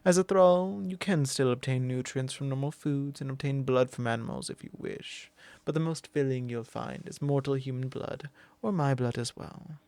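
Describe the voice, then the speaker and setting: A man voicing a possessive, commanding male vampire lord in an ASMR roleplay, speaking slow, low and intimate, often in a whisper. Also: vocal fry, a little mellow, clean tone, no room sound.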